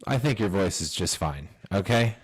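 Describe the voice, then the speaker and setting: Low-voiced joking male podcast co-host. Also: expressive, moderate pace, breathy edge. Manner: loud, jokey, hype-man energy, swears. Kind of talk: casual chat.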